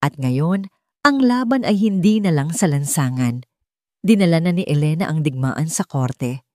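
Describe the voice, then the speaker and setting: A woman narrating a dramatic audiobook story in measured, suspenseful phrases. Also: low voice, lively intonation, darkish tone, no room sound, clean studio sound.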